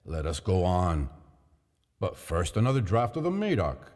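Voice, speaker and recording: A man doing a proud, tipsy nobleman with a nagging cough, brushing off every worry in a low, swooping voice. The sound rings with a long hall echo. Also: wildly swooping pitch, measured pace, darkish tone, heavily acted. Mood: dismissive.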